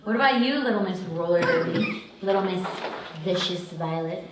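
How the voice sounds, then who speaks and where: A woman plays a stressed, principled young student in a screenplay table read. Her voice is on the low side, heard from a distance in a very echoey room with some noise. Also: lively intonation, moderate pace, natural voice. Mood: playful mocking.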